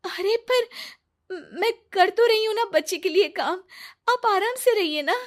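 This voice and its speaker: A woman's voice: very high-pitched, measured pace, voice pushed up. A woman voicing a distressed young mother in a cartoon moral story, pleading and emotional yet determined.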